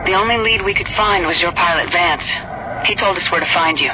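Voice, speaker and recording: An actress voicing a tough female squadron leader who gives crisp military orders in a space combat game, with game music behind. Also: on the low side, brisk, noisy background, close to an everyday voice. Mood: explaining. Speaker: fighter pilot leading the escort. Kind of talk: video game voice acting.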